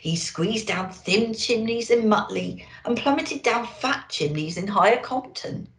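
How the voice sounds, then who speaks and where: A woman's voice: on the high side, expressive, measured pace, echoey room. A woman reading a children's storybook expressively as a Christmas elf storyteller, with dramatic emphasis.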